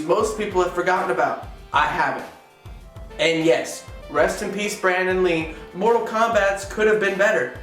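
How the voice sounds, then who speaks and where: Male game-show co-host, slightly high voice, casual and opinionated film reviews, slangy rants, faint music underneath. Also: a bit bright.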